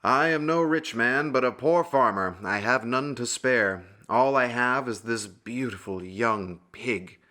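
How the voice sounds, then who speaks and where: A man's voice: medium pitch, measured pace, few pauses, bright, forceful tone. A man voicing a poor, humble pig farmer who speaks earnestly in a puppet-show tale. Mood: apologetic.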